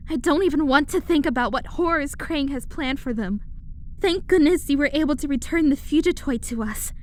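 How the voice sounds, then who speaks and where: A woman's voice: sits high, brisk, a little hiss or hum, lightly acted. A woman playing an earnest young alien princess, formal and gracious, in a comic-book audio drama. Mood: worried.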